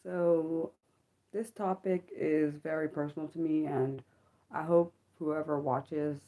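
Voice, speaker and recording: A woman in her thirties hosting a personal livestream from home. She speaks haltingly, with many ums and apologetic self-corrections, and her voice sounds slightly sick. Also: deep voice, steady tone, mellow. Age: 30s. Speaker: livestream host. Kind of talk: personal reflective livestream talk.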